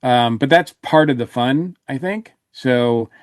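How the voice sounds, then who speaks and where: A man's voice: medium pitch, measured pace, no echo, studio quality. A man in a casual panel discussion, talking as a podcast reviewer in a rambling way, often trailing off and rephrasing.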